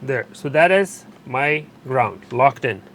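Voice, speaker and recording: A man narrating a hands-on repair tutorial while he works, pausing and correcting himself as he goes. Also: mid-range voice, expressive, fairly bright tone, a little hiss or hum. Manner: unscripted, pauses and self-corrects while working. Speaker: electronics repair instructor.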